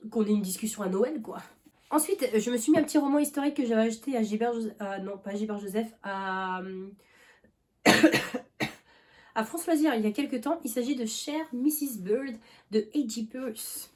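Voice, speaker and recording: A woman's voice: fairly high pitch, normal speed, a little mellow. A young woman vlogging about books in a loose, rambling chat, full of fillers and restarts, with a cough now and then. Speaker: book vlogger. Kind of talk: casual chat.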